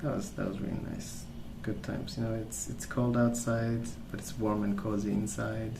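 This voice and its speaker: A man speaking softly as an ASMR host, rambling through stories in a hesitant, pausing, unhurried way. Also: fairly low pitch, flat delivery, vocal fry, noisy background. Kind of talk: soft-spoken ASMR rambling.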